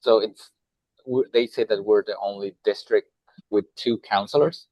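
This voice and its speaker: A man's voice: lowish voice, fairly even intonation, darkish tone, no echo, low-quality recording. Man with a Mexican Spanish accent. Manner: halting, many restarts and fillers. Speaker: nonprofit director. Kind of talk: roundtable discussion.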